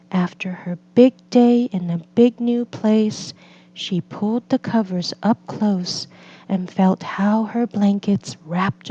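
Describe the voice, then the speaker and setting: A woman narrates a children's picture book at a very slow pace. Her voice is mellow and mid-pitched, with gentle, deliberate phrasing. The recording is slightly dull and lo-fi. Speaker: read-aloud storyteller for children and English learners.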